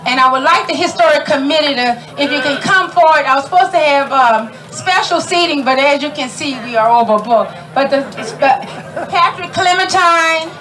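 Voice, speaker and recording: A woman's voice: high voice, normal speed, sharp tone, rough recording. A middle-aged woman emceeing an outdoor community ceremony, chatty and folksy, laughing often and calling out to the crowd. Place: outdoor tent at a park gathering.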